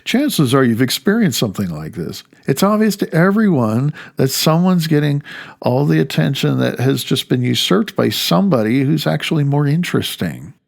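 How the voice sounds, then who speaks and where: A man's voice: a little high, big pitch swings, moderate pace, creaky. A man in his fifties reading his own reflective audiobook essay, with wry, emphatic phrasing.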